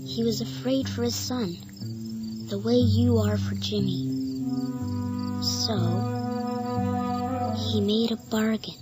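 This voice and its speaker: An eerily mature young boy in a radio drama. The voice is rather high, composed and knowing, quietly persuasive. Music is clearly heard behind it. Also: fairly even intonation, slow pace, pauses often. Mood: knowing, calm.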